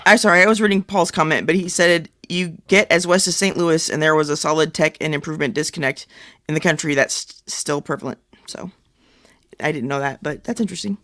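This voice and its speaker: Middle-aged man, slightly high voice, dry sound, casual podcast co-host chat. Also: steady tone, fairly quick, fairly bright tone, no echo.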